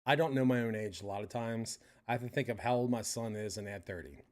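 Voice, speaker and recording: Middle-aged male stream host, lowish voice, casual, rambling, self-correcting. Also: fast talker, darkish tone. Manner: rambling, frequent restarts and fillers. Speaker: stream host reacting to a podcast. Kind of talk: casual personal commentary.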